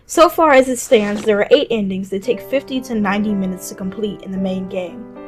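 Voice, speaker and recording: A woman's voice: medium pitch, fairly quick. A woman hosting a scripted video game list, narrating in a conversational way with casual asides. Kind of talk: scripted video narration, top-five review. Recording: music behind the voice. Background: background music.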